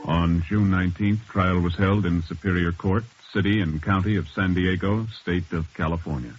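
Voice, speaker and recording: A man with an American accent doing formal, scripted 1950s radio announcing in a measured, authoritative way. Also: sits low, steady tone, soft background music. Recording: dull vintage radio recording.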